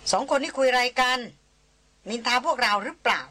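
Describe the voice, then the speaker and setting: A woman reading the part of a lively, teasing young woman on a jungle trek, cheerful and playful. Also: a little high, a little fast, slightly rough, voice pushed up, full character voice.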